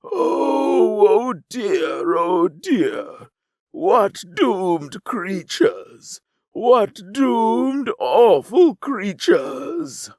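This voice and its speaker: Eklez, a pompous high alien. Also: male voice, wildly swooping pitch, gravelly, a little mellow, no echo, clean studio sound, exaggerated voice. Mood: grandiose. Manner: grandiose, drawn-out, mocking. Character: pompous tall alien male, arrogant and theatrical.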